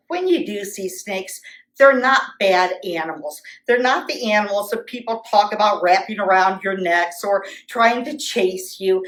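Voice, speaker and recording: A woman's voice: medium pitch, rough recording. American woman giving an animal talk for kids. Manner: explains in simple, conversational terms. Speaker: zoo educator presenting to children. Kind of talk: informal educational animal talk for children.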